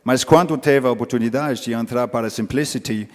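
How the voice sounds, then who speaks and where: A man gives a conference talk in a language that is not his first, picking his words carefully and often restarting. His voice sits at an ordinary pitch, and a long hall reverb trails behind every phrase. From foreign, lived in Brazil as a teen. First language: not Portuguese. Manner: careful, halting non-native speech with restarts. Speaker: conference speaker, company vice-president. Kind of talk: conference presentation.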